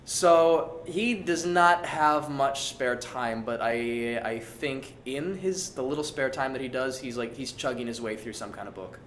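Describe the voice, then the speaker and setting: A man's voice: medium pitch, unhurried, few pauses, fairly bright tone. A man in a casual group Q&A, talking as an actor answering fan questions in a jokey, sometimes hesitant way. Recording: roomy echo, faint background noise.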